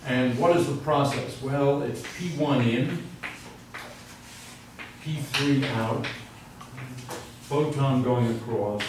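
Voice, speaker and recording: A man's voice: medium pitch, slow pace, pauses often, background noise. An older man teaching a university physics class, telling rambling anecdotes and working equations at the board. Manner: rambling anecdotal storytelling, self-interrupting. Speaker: physics professor. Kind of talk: university lecture. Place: large lecture room with chalkboards. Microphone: far room mic. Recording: echoey lecture hall from far off.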